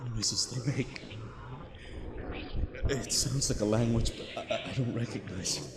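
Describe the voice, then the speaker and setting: An actor playing a weary, terrified explorer losing his mind, recording a halting log in an audio drama. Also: mid-range voice, gravelly, natural voice. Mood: horrified. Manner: halting, haunted, breaks into whispers. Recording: noisy, echoing cavernous reverb.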